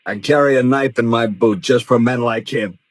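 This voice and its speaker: A man's voice: mid-range voice, moderate pace, dry recording, close to an everyday voice. Male, radio western: actor as lethal gambler-gunfighter, medium voice, wry menace, cool delivery, very dry studio acoustic. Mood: menacing. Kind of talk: 1950s radio western acting.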